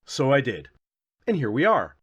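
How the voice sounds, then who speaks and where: A man's voice: mid-range voice, wildly swooping pitch, moderate pace, slightly rough. A man narrating a scripted comedic review as host, with a theatrical, wry, self-deprecating delivery.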